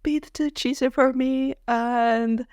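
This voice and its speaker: A woman's voice: high voice, fairly even intonation, slow, darkish tone, clear, resonant tone, no room sound, studio quality. A woman co-hosting a podcast, chatting casually about books, opinionated and peppering her talk with "like". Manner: opinionated, heavy use of "like". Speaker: podcast co-host. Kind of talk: casual book discussion chat.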